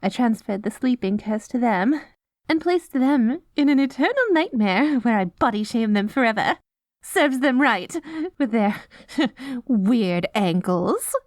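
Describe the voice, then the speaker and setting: This is a haughty, self-righteous queen of goodness for an audio roleplay. Her voice sits high and flutters up and down with prim, affected sweetness. Vanity runs through every phrase, and the recording is dry. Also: expressive, unhurried, dry recording. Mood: haughty disdain. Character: vain, haughty, falsely sweet good queen. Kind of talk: scripted ASMR audio roleplay.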